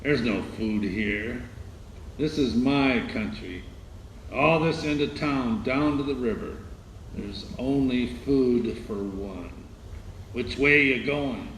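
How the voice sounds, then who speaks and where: A man's voice: mid-range voice, slow pace, fairly bright tone, echoey room, slight background noise, poor audio. An actor playing a paranoid, territorial man hiding out after an invasion, jumpy and suspicious in radio drama dialogue. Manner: suspicious, jumpy, folksy clipped phrases with repeats. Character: paranoid, territorial, knife-armed male survivor in hiding.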